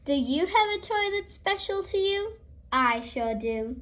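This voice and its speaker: High-pitched, animated woman storyteller. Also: a little slow. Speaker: host and storyteller of children's read-alouds. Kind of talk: expressive children's storybook read-aloud.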